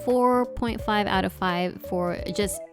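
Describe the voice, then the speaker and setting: A woman's voice: mid-range voice, slow pace. A woman giving a casual product review as the host of an unboxing video. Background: background music.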